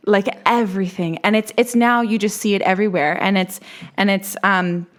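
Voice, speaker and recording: A woman's voice: mid-range voice, steady tone, normal speed. A woman hosting a podcast, a mother, asking thoughtful, searching questions and restarting her sentences as she works through ideas. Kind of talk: casual conversational interview.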